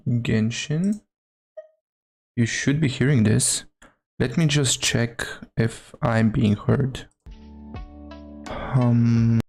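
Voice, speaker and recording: A man's voice: medium pitch, creaky, a little mellow. A man chatting casually as a live game streamer, hesitant and muttering to himself.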